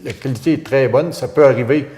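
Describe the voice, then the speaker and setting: A man's voice: medium pitch, a little fast, warm tone, echoey room. A man, a volunteer radio host answering interview questions with many hesitations and restarts. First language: French.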